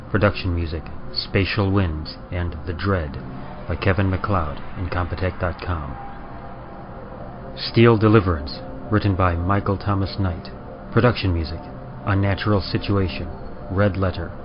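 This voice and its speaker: A man reading scripted production credits as a podcast narrator. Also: low-pitched. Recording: muffled audio under music.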